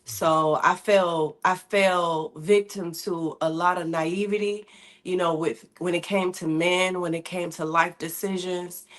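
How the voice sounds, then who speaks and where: Lady guest on an interview, lowish voice, real candid and chatty. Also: steady tone, measured pace. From Michigan, USA. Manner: candid, conversational, drops into slang. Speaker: guest, singer-songwriter. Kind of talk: casual interview chat.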